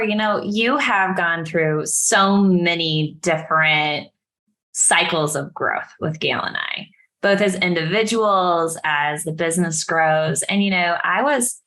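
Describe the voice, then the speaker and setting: Woman co-host, lowish pitch, bright forceful tone, animated storytelling, casual interview chat. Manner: animated, acts out her own quoted reactions. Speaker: podcast co-host.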